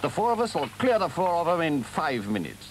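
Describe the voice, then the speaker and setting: Man voicing a stern, deliberate old badger. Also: a little high, very animated, background noise, pitched above the performer's own voice. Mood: decisive. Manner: grave, deliberate, commanding. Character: stern, authoritative older male badger. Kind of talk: animal storybook character voice.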